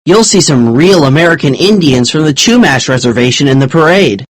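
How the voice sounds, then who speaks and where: An earnest teenage boy explaining things to his friends, as a male narrator puts him on. The voice is slightly husky and a little raised. The sound is dry and close. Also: fairly high pitch, animated, a little fast, no room sound.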